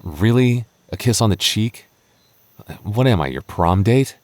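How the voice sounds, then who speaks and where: A low-pitched young goth boyfriend, acted by a man in an intimate audio roleplay. He speaks earnestly and haltingly, stammering as he goes. He is protective and playful, and gets flustered easily. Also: animated, a little mellow, no room sound. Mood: playful, teasing.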